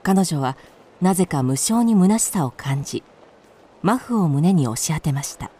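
A woman's voice: low-pitched, animated, moderate pace, mellow, slightly rough. A woman narrating a radio drama from a script as its storyteller.

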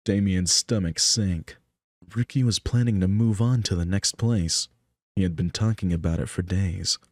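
A man's voice: low voice, normal speed, no room sound, clean studio sound. A man reading scripted story narration for a visual novel. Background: background music.

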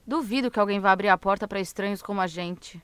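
A woman's voice: medium pitch, fast talker, fairly bright tone, smooth, no room sound, lightly acted. An actress in an audio drama playing a young woman journalist, fearful but defiant, with an urgent, pleading manner. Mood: uneasy.

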